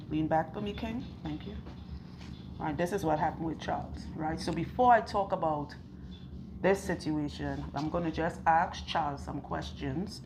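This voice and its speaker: A woman loctician with a husky, hoarse voice, talking slowly and earnestly to camera while interviewing a client in her salon. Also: low voice, noisy background. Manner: earnest, chatty, asks questions and recounts stories. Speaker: loctician and channel host. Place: hair salon.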